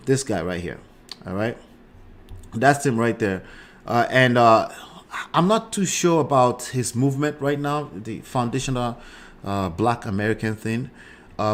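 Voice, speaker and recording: A man's voice: mid-range voice, a little hiss or hum. A man in his forties with a Nigerian accent hosts a live show, giving casual commentary and often checking in with short tags like "right" and "okay". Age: 40s.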